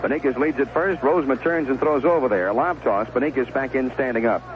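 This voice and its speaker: A man's voice: mid-range voice, quick pace, lo-fi sound, noisy background. A man calling live baseball play-by-play in short, clipped phrases and conversational description, heard on a 1970s radio broadcast. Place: stadium broadcast booth. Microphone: broadcast microphone with headset earphone monitoring.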